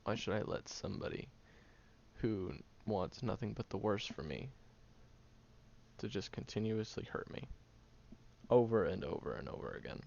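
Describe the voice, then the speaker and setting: A man playing a brash, defensive young man with a secretly caring side in an audio roleplay, speaking bluntly and haltingly in a low, gravelly voice. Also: a little mellow. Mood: wounded, resolute. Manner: blunt, grumbling, sighing, halting delivery. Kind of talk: audio roleplay acting, one-sided dialogue addressed to the listener. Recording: dull home recording with faint noise.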